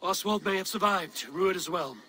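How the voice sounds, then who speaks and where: An actor playing a resolute Viking warrior leader in a video game cutscene, speaking firmly and plainly. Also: male voice, sits high, normal speed, pushed and bright, a little hiss or hum. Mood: resolute, planning. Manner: firm, decisive, plain-spoken.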